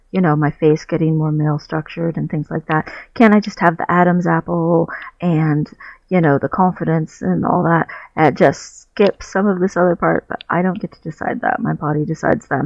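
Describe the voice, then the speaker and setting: A man's voice: fairly high pitch, gravelly, no echo. A man talking candidly and reflectively in a personal video diary, searching for his words as he goes, recorded on a headset mic while sitting on his bed at home. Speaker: vlogger. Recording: dull, muffled lo-fi headset audio.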